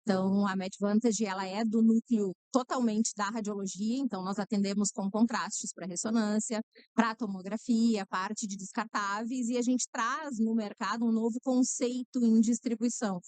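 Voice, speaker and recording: Smooth-voiced middle-aged woman explaining earnestly. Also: fairly high pitch, steady tone, normal speed, a little mellow, no echo. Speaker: guest, nurse and company co-founder. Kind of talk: interview answers.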